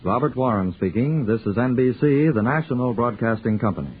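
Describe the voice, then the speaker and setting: A man's voice: medium pitch, fairly quick. A man reading closing credits and a next-week preview in a formal, polished 1940s radio announcing style. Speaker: network announcer. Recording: tinny 1940s radio broadcast with music.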